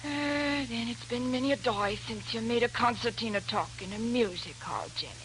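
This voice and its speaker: An actress playing a down-and-out former music-hall busker, muttering ruefully in 1940s radio melodrama. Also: female voice, fairly high pitch, moderate pace, fairly bright tone, slight background noise, lightly acted. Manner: muttering to herself. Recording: old radio broadcast with music.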